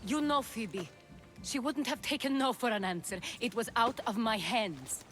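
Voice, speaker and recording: A woman's voice: on the high side, normal speed, a bit bright, soft background music, lightly acted. An actress playing a composed, persuasive Athenian noblewoman, firm and defensive, in a dramatic video game cutscene.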